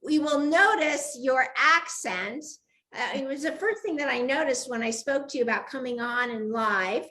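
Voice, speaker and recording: An older woman with a high, bright voice hosts a live online chat. She is effusive and welcoming, talking casually as she greets guests and viewers. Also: lively intonation, normal speed, rough recording. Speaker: live show host. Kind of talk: chatty livestream interview. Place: video call livestream.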